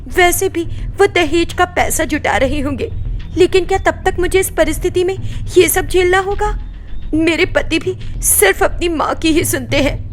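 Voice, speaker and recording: A woman's voice: very high-pitched, moderate pace, soft background music, pitched above the performer's own voice. A woman voicing a meek, sorrowful young daughter-in-law, submissive and plaintive. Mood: worried, sad.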